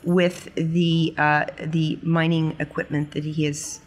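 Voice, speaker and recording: A woman playing a tabletop role-playing game, improvising casual descriptions in halting phrases. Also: low-pitched, little pitch movement.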